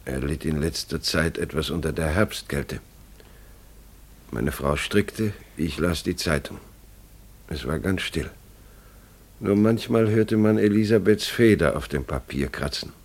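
A sombre bewildered dad in a radio play, really deep and unhurried, halting. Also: male voice, animated, exaggerated voice. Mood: hushed, bewildered. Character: bereaved family father, grave, bewildered eyewitness. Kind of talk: German radio play acting.

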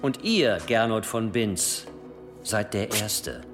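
An actor playing a shrewd, insinuating medieval cathedral provost in a radio play, formal and smoothly insistent. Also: male voice, lowish voice, animated, slow. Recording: long hall reverb, faint music.

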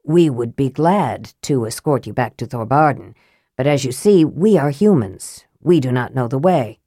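A woman voicing a cold, clever young male wizard who speaks low, dry and curt. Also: animated, moderate pace, warm tone, no room sound. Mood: cool.

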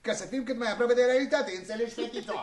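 An actor playing an eager, delighted elderly academician with effusive, theatrical delivery in a radio comedy. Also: male voice, extremely high pitch, steady tone. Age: older.

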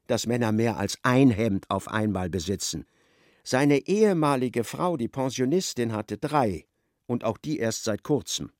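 A man's voice: mid-range voice, a little mellow, no echo. Male radio-play narrator, dry close sound.

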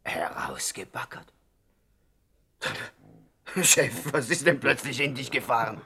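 An actor playing a placating gangster henchman in a 1960s radio comedy, husky and expressive. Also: mid-range voice, slow, heavily acted. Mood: puzzled. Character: male gangster henchman soothing his nervous boss.

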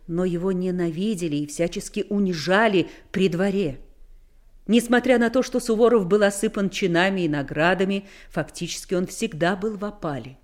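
A woman narrating a historical script solemnly, with emphatic, deliberate, declamatory phrasing. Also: on the low side, darkish tone.

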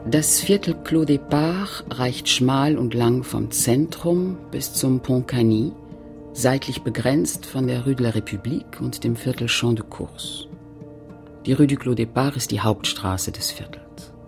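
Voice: medium pitch, measured pace, creaky, faint music behind. An actor playing a terse, factual narrator of a post-war French town in a literary radio play, with clipped statements. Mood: detached, deliberate.

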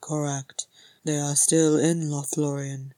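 A woman voicing a brooding, gruff, regal male dwarf king in a story, with a grim, terse and solemn manner. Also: deep voice, little pitch movement, takes it slowly, warm tone, dry recording.

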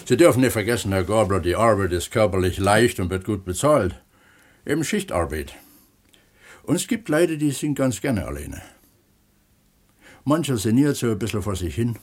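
An actor playing a down-to-earth, contented mine pump attendant, unhurried and colloquial, in a radio play. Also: male voice, on the low side, big pitch swings. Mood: calm, content. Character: plain-spoken, contented male mine pump attendant who writes. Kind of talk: 1970s East German radio play acting.

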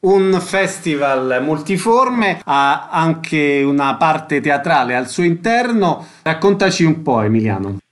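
A man's voice: a little high, lively intonation, slow, hardly any pauses. A man hosting a radio interview show, making concise, orderly announcements and putting short questions to guests.

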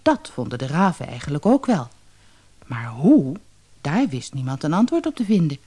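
Animated woman storyteller with music. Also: deep voice, normal speed, husky. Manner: expressive, dramatized reading. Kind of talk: scripted fairy-tale narration for children.

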